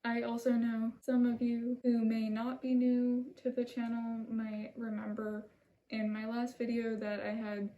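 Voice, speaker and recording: A woman's voice: fairly high pitch, vocal fry, lo-fi sound. A woman hosting her own channel, reading a scripted video essay in an earnest, apologetic way. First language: not Italian. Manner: soft-spoken, apologetic, earnest. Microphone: camera mic, seated holding a script.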